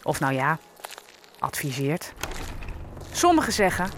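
Woman narrator with lively intonation. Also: deep voice, a little slow, a little hiss or hum. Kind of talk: scripted storytelling narration.

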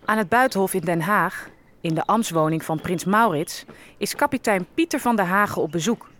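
A woman's voice: mid-range voice, expressive, normal speed, fairly bright tone, slight background noise, close to an everyday voice. An actress playing a dignified freed captive who narrates her people's story in a historical audio drama. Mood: dignified, matter-of-fact.

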